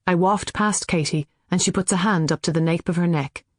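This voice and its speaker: An Irish woman reading scripted fiction as an audiobook narrator, with an expressive storytelling delivery. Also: on the low side, brisk, dry recording, studio quality.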